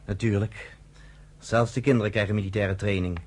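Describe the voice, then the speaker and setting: A man's voice: lowish voice, fairly even intonation, normal speed, husky. A man playing an earnest young camp resistance organizer in his twenties, matter-of-fact, in a radio play. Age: 20s.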